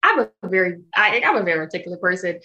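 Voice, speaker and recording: A woman's voice: lowish voice, sing-song, fast, dull audio. A woman in her thirties with an American accent, talking candidly and emphatically in an interview and cussing freely. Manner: frank, emphatic, cusses freely. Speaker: guest, sommelier and entrepreneur.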